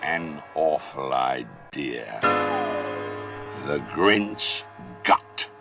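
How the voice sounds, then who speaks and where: Slow, lively storybook narrator, man. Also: a bass voice, gravelly, loud music behind the voice. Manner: sing-song, expressive. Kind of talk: storybook reading in rhyme.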